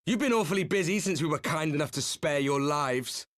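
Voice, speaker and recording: A man playing a grim, resolute fallen hero in a video game cutscene, speaking in a measured, solemn, theatrical way. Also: a little high, animated, fairly bright tone, dry recording, clean studio sound. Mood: stern, weary. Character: grim male warrior, fallen hero from a doomed world, resolute.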